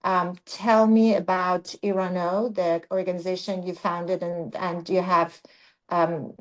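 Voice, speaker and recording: A woman moderating a webinar panel over a video call, introducing guests and framing questions in a deliberate way. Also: on the low side, level tone, low-quality recording.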